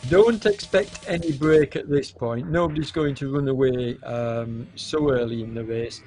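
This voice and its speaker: Hoarse male race commentator, slow and hesitant, faint music underneath. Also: medium pitch, a little mellow. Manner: hesitant, many fillers, unhurried. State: hoarse with a cold, coughing.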